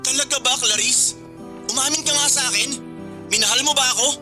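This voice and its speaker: A man's voice: extremely high pitch, a little fast. A man playing an earnest, hurt and suspicious young boyfriend in a radio drama, speaking emotionally. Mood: hurt, accusing. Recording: music bed under the dialogue. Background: background music.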